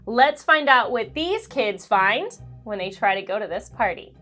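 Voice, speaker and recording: A woman hosting a children's read-aloud, telling a storybook in an expressive, sing-song way. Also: a little high, soft background music. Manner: animated, slow and deliberate sing-song delivery.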